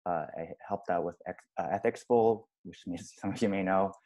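Young man, college student, low voice, earnest filler-heavy advice over a laptop mic. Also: moderate pace, warm tone. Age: young adult. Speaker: college student on alumni panel. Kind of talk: casual Q&A on a video call. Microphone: laptop mic on a video call.

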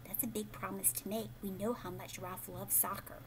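A woman in her thirties reading a picture book aloud to children in an expressive, explanatory way, pausing to ask questions. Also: mid-range voice, a little hiss or hum, low-quality recording. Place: living room at home, indoors.

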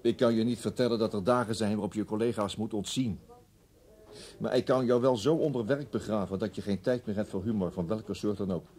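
Weary senior male detective for radio drama, authoritative, soft dark timbre. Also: fairly low pitch, fairly even intonation, brisk, breathy edge. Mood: firm, commanding.

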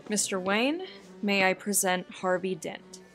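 A woman's voice: mid-range voice, a little slow, fairly bright tone, soft background music, lightly acted. A woman playing a bold, teasing, determined society woman in an audio drama. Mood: teasing, confident.

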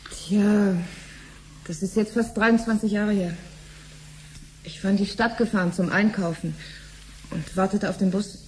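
A woman's voice: mid-range voice, fairly even intonation, unhurried, pauses often, a little mellow, a little hiss or hum, lightly acted. An actress playing a plain-spoken, friendly, slightly wry married woman in a 1970s German radio drama. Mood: matter-of-fact, reminiscing. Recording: 1970s radio play with music behind.

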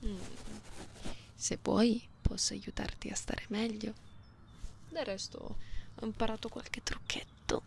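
A woman playing a gentle, playful cat-girl in an ASMR roleplay, speaking softly and intimately, often slipping into whispers. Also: mid-range voice, fairly even intonation, creaky. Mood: gentle, reassuring. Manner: slow, soothing, intimate, teasing, with meows and purry hums. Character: gentle, playful genetically modified cat-girl comforting someone lonely. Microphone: close binaural microphone. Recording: close binaural recording with faint background noise.

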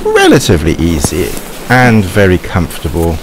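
Deep, animated male voice-over with noticeable hiss; plain asides to the viewer. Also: moderate pace. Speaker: vlogger doing voice-over.

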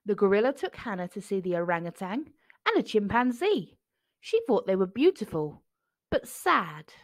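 A woman narrating a children's picture book expressively, phrasing clearly for young listeners. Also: medium pitch, very animated, normal speed, no room sound.

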